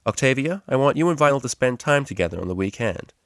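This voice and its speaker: A man's voice: mid-range voice, expressive, fast talker, dry recording, studio quality, pitched above the performer's own voice, lightly acted. Man playing an easygoing, jovial pony tutor. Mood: instructing. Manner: patient, jovial, explanatory. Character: easygoing, enthusiastic male pony psychology tutor.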